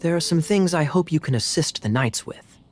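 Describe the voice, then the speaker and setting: A man voicing a suave, courteous knight officer in a video game, with formal, measured and slightly sly phrasing. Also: on the high side, lively intonation, natural voice. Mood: smooth, gracious. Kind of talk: video game character acting. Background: music.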